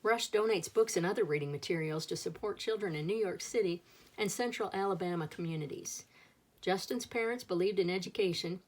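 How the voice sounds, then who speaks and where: A woman's voice: on the low side, fairly quick. A woman reading a children's picture book aloud as a storyteller, expressively acting out the dialogue.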